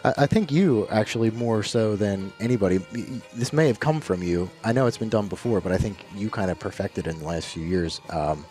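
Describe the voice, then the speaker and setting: A man's voice: fairly low pitch, a little fast, hardly any pauses, dark tone, faint music behind. A man hosting a podcast, asking long, winding questions with many restarts in a casual chat. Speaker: podcast host.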